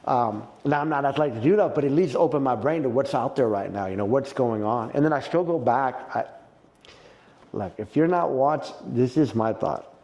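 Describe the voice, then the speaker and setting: A middle-aged man with a mellow, gravelly voice, talking jiu-jitsu in a relaxed interview. He tells his stories with plenty of feeling and jumps up in pitch and volume when something excites him. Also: normal speed. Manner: animated, emotional storyteller who gets loud and high when excited. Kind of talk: casual podcast interview.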